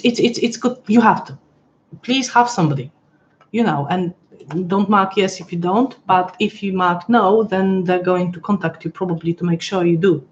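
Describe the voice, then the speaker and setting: A woman's voice: medium pitch, dull audio, slight background noise. A woman hosting a live webinar from home, chatty and hesitant with frequent restarts, her voice a little worn as she is losing it. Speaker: webinar host. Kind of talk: casual live-stream walkthrough. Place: home room, pet nearby.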